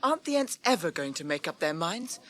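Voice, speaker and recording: High, eager young British halfling. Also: male voice, very animated, fairly quick, sharp tone, faint music behind. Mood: impatient. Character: small young male halfling, eager and impatient. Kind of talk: British radio fantasy drama acting.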